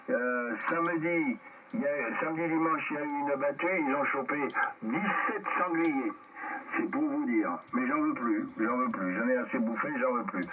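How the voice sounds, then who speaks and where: A man chatting casually as an amateur radio operator, rambling and repeating his words over a radio transmission. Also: mid-range voice. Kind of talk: casual radio contact chat. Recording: noisy, muffled, distant amateur radio transmission.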